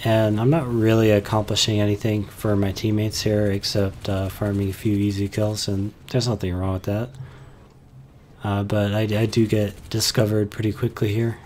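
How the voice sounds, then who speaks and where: A young man calmly explaining his tactics over game footage, sounding a little stuffy from a cold, with frequent "uh" fillers. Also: lowish voice, normal speed, slight background noise. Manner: calm, explanatory, many "uh" fillers. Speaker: gameplay commentator.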